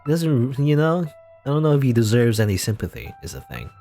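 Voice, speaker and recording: A young man reads a gothic visual novel aloud with dramatic flair, his pitch swinging widely. He drifts into rambling asides. Faint game music runs underneath. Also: wildly swooping pitch, fairly quick, creaky, warm tone. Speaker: let's player.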